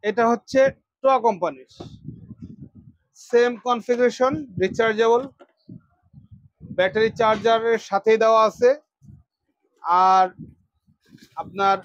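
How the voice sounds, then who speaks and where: A Bangladeshi man gives a product demonstration in a notably high voice. He addresses viewers directly and repeats phrases to press his points. The sound is dry and somewhat dull. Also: no echo. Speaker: electronics shop presenter. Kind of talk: product demonstration sales pitch.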